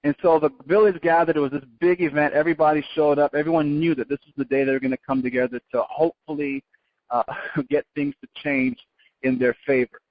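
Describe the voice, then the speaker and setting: A man's voice: on the high side, level tone, brisk, no echo. A man originally from South Africa, now without the accent, telling a motivational story as a guest speaker on a conference call, earnest and often restarting his words. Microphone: phone line. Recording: muffled dry phone line.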